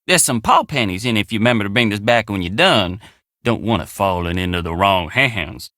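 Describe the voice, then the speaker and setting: An actor playing a folksy, earnest, excitable young country handyman in a video game, with an American Southern accent and a colloquial drawl. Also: on the low side, brisk, no echo, clean studio sound. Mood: matter-of-fact. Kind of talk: video game character dialogue.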